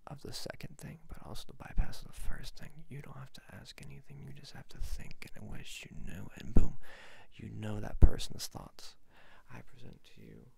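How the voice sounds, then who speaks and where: Guy doing asmr as a shady magic ring seller, half whispering, super croaky, keeps trailing and repeating. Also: medium pitch, sing-song, mellow. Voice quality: breathy creaky half-whisper. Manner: halting, hesitant, repeating himself. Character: shifty, hesitant seller of magic rings. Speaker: ASMR roleplayer. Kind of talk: ASMR roleplay, soft improvised salesman patter.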